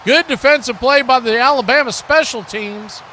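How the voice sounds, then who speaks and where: American guy doing live football play-by-play, pretty high voice, clipped calls, noticeable background noise. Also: moderate pace, sharp tone. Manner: clipped present-tense calls. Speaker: play-by-play commentator and watch party host.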